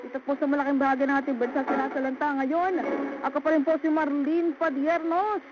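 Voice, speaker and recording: A woman's voice: high-pitched, steady tone, fast, loud music behind the voice. A woman with a Filipino accent anchoring live radio news coverage. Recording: muffled, noisy radio broadcast.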